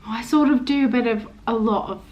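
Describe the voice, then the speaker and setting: A young woman with a stammer, chatting casually to camera in a halting, hesitant way, often trailing off. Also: a little high, a little mellow, muffled recording. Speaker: vlogger.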